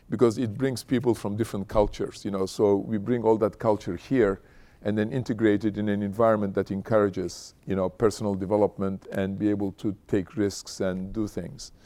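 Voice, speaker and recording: A middle-aged man from Turkey, speaking informally to an audience as a guest speaker in a halting, conversational way, often adding "you know". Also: lowish voice, dark tone. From Istanbul, Turkey. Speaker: company founder, guest speaker. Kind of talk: informal autobiographical talk to an audience.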